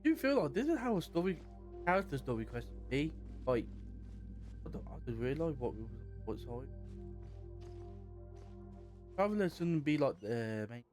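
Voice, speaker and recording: A man giving casual commentary while playing a game, rambling in quick asides. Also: fairly high pitch, very animated, a little mellow, soft background music. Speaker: gaming streamer.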